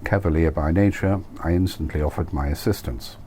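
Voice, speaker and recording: A man's voice: low voice, brisk. A man reading his own novel aloud as scripted first-person narration in a wry, mannered way. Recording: clear steady background noise.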